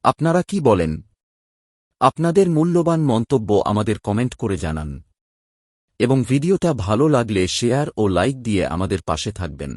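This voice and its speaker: A man's voice: medium pitch, very animated, normal speed, a little mellow, no room sound, clean studio sound. A man with an Indian Bengali accent reading scripted news narration as a presenter.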